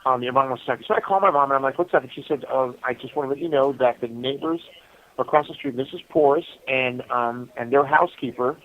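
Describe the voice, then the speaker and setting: A middle-aged American man telling his story casually over a telephone, halting and conversational, often saying "you know.". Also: mid-range voice. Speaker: prisoner interview guest calling from prison. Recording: muffled phone call from prison.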